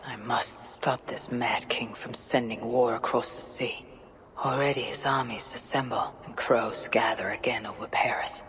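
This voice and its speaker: An actress playing a resolute, seasoned Viking raider in a video game, with a low, husky voice and a measured, commanding manner. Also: female voice, steady tone, in a whisper, poor audio. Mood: grave narration. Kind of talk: video game cutscene acting. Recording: loud cinematic score behind.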